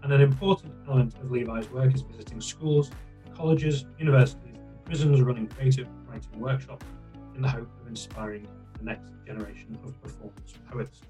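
A man's voice: medium pitch, steady tone, fairly quick, mellow, faint music behind, rough recording. A man hosting a podcast, reading an upbeat introduction and welcoming his guest. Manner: upbeat, promotional. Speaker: podcast host. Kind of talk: scripted introduction and interviewing.

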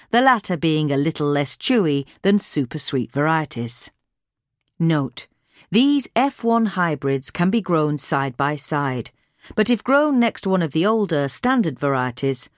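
A woman's voice: deep voice, no room sound, clean studio sound. A woman reading a scripted, instructional gardening article as a narrator. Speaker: reader of a gardening magazine article.

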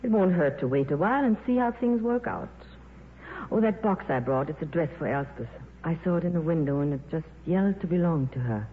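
A worldly female film star, wry and breezy but soft at heart, her intonation rising and falling widely. The 1950s radio drama recording is thin and narrow, with faint noise. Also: deep voice, animated, fairly quick. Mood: wistful. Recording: tinny, noisy old radio broadcast.

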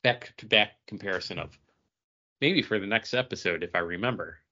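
Bright-voiced rambling man on a podcast. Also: fairly low pitch, brisk, highs cut off. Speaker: podcast host. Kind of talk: casual podcast chat.

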